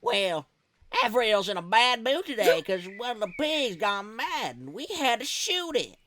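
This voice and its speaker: A woman's voice: fairly high pitch, sing-song, measured pace, fairly bright tone, a little hiss or hum. A woman voicing a blunt, dismissive country hillbilly with folksy talk in a comic fan dub. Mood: matter-of-fact.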